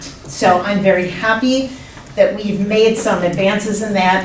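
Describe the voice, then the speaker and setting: An older woman from the Midwest, speaking at a public comment session, earnest and urging in a measured way. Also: medium pitch. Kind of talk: public comment at a council meeting. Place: council meeting room. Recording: echoey room, distant mic, faint noise.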